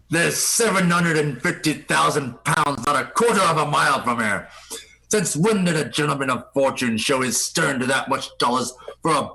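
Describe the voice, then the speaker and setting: An actor playing a wily old pirate ringleader in a live radio play, with gravelly, folksy seafaring speech. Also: male voice, a little high, bright, forceful tone, exaggerated voice, poor audio. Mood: bold, scornful.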